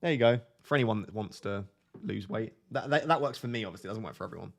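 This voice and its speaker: Young man, monotone, casual live-stream host, self-deprecating. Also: fairly low pitch, fast, gravelly. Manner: self-deprecating, chatty, reads out chat messages. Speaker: livestream host. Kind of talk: casual live-stream chat, answering viewers.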